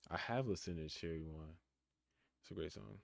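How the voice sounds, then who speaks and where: 19 yr old guy on a computer mic, really deep voice, super dry sound, chill and thoughtful answering chat. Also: moderate pace, gravelly, no room sound. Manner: laid-back, reflective, reads chat questions aloud and answers. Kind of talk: casual live-stream chat. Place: his room in college housing.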